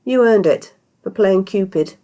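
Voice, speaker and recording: A woman's voice: medium pitch, a little slow, mellow, dull audio, voice pushed up, lightly acted. A British woman voicing a sardonic, easily indignant inn worker in a storybook, with a dry, challenging manner. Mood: conceding.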